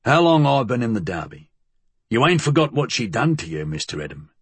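A huge shaggy old crook as a male narrator plays him, husky and wary. He talks in rough dialect, sizing people up in a dry, close audiobook recording. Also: medium pitch, big pitch swings, dry recording. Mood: wary reminiscing. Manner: rough dialect, slow and appraising.

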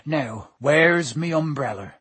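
A big, jovial giant with a hearty, folksy way of talking, played by a male audiobook reader. His delivery rises and falls with plenty of life, through a husky, breathy tone. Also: on the high side, expressive, slow, a bit bright, dry recording, exaggerated voice. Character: huge, jovial giant groundskeeper, rustic.

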